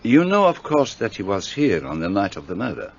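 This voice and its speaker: An actor playing a precise, courteous middle-aged detective in a radio play. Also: male voice, on the low side, wildly swooping pitch, a little fast, creaky, breathy edge, exaggerated voice. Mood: coaxing. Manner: courteous, precise, slightly formal foreign phrasing. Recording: dull lo-fi sound.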